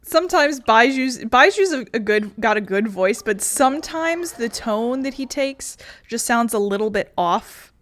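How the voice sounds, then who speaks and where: A woman streaming a game, chatting casually in a rather high voice. She rambles and jokes at her own expense as she comments. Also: expressive, unhurried, few pauses, a bit bright. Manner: rambling and self-deprecating.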